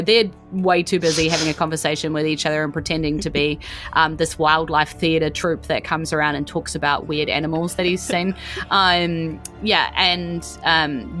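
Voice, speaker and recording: A woman's voice: deep voice, fairly bright tone. A woman with a New Zealand accent narrating an improvised tabletop game as game master in an informal, chatty way.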